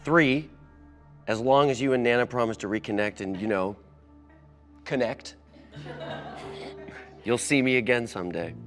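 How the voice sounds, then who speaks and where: A man's voice: mid-range voice, measured pace, long pauses, faint music behind, natural voice. An American man in his thirties playing a cocky, chatty, big-hearted young tech whiz in a TV drama table read. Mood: cocky, sincere. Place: large meeting room full of people.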